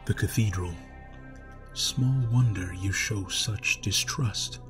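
A man voicing a kindly yet sinister high priest in a storybook reading, speaking softly and in a measured, coaxing way with a low, smooth voice. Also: faint music behind. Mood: persuasive. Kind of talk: storybook narration.

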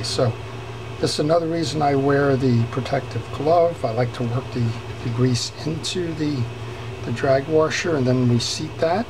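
Unhurried man explaining a reel repair. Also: medium pitch, background noise. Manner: unhurried, many fillers and restarts. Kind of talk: hands-on repair tutorial. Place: workbench in a workshop.

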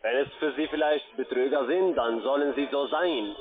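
A man's voice: mid-range voice, moderate pace, a crowd in the background. A man with a foreign accent, a non-native speaker working in a call center, arguing on the phone in a repetitive, defensive way. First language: not German. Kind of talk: argumentative phone conversation. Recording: tinny narrow phone line.

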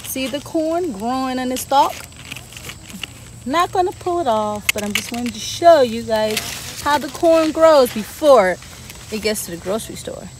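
A woman in her thirties with a somewhat high voice reads a picture book to young children, then walks them through a vegetable garden. She keeps asking them questions in a friendly teaching way. She was recorded outdoors by a camera a few feet away, with a steady hum of insects behind her. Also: a little slow, low-quality recording. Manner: lively, talks straight to kids with questions. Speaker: garden educator reading to children. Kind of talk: children's story time read-aloud and garden tour. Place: outdoor garden, seated on a bench then walking among plants.

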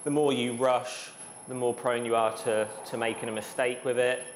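A man's voice: medium pitch, level tone, moderate pace. Guy making skyr, chatting easily as he works, roomy sound with plenty of background noise. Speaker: dairy skyr maker. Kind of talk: casual explanation while working. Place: small dairy production room, indoors. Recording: echoey room with clear machinery noise.

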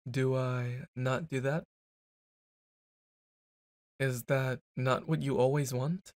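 Slow, teasing young man in roleplay. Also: medium pitch, no room sound, studio quality. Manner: brash, teasing, flustered stammering. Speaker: boyfriend roleplay performer. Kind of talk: ASMR boyfriend roleplay.

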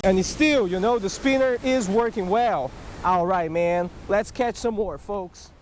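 Guy with a highish voice doing a casual fishing tutorial by a creek, keeps saying right and okay, some water noise. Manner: animated, repeatedly checks in with "right?" and "okay?". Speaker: fishing video host. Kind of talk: casual tutorial commentary to camera. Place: outdoors beside a rushing creek. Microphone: body-worn action camera. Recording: outdoor noise of rushing water. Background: rushing water.

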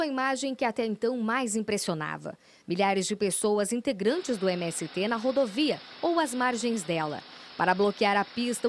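A woman reporter reading a scripted news voice-over in a measured, dramatic way. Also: a little high, slight background noise.

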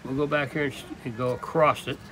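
An older man demonstrating woodworking in an open workshop, talking in a halting, rambling way full of restarts. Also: medium pitch, fairly even intonation, music in the background, low-quality recording. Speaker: woodturner demonstrating. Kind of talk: casual hands-on demonstration.

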